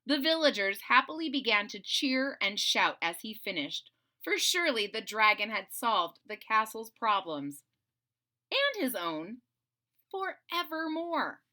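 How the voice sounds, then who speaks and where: A woman reading a storybook aloud to children, expressive and conversational, speaking directly to young listeners. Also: on the high side, unhurried. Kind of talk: animated children's read-aloud with casual asides.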